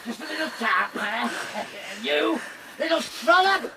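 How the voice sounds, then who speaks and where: A man's voice: very high voice, animated, slow, distant mic, background noise, full character voice. An actor in a radio drama playing a brutal, drunken sea captain who bullies his family with taunts and threats. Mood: wheedling threat. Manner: menacing, bellowing, taunting.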